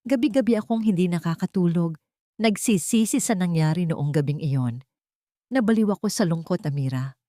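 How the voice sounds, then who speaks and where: A woman's voice: fairly low pitch, animated, normal speed, warm tone, dry recording, studio quality, close to an everyday voice. A woman voicing a deceitful, desperate, melodramatic husband in an audio drama. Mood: fake tearful pleading. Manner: melodramatic, falsely remorseful. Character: deceitful, pleading, desperate adult husband, quick to rage.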